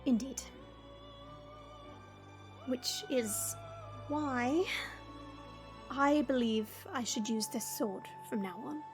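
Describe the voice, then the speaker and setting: A woman playing a stern, proud but caring fantasy warrior, speaking in a measured, formal and dry way. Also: sits high, over music. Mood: calm, instructive. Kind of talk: fantasy audio roleplay acting.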